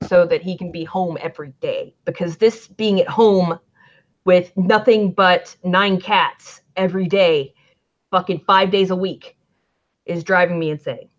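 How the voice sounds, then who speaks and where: Southern lady rambling on a stream, kinda low voice, swears a lot, dull lo-fi sound. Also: steady tone, unhurried. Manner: rambling, chatty, swears freely. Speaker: band manager. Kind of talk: casual livestream chat. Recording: lo-fi stream audio.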